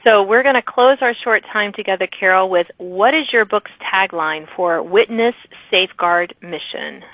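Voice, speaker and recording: A woman podcast host with a medium-pitched voice, moving between prepared questions and casual chat. The recording is very dry and thin, like a phone line. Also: moderate pace, hardly any pauses, no room sound. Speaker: podcast host interviewing authors.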